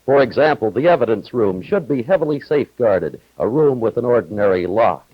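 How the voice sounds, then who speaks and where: A man narrates a 1950s television news feature in a scripted reporter's style. His delivery swings expressively and his voice has a husky edge. The old film soundtrack is dull and roomy. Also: mid-range voice.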